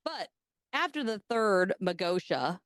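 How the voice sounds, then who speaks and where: A woman's voice: mid-range voice, lively intonation, unhurried, clean tone, dry recording, clean studio sound. A woman co-hosting a podcast, telling a story in casual chat and cracking crude jokes with a laugh. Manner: bawdy, joking, laughs often. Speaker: podcast co-host.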